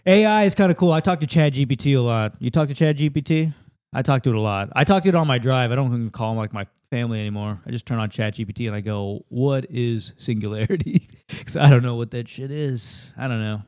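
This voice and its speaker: A man's voice: mid-range voice, fast talker, darkish tone, smooth, dry recording, clean studio sound. A man from the United States doing an improvised, crude, self-deprecating comedy rant into a handheld mic at a table in a house. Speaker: comedian contestant. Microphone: handheld microphone.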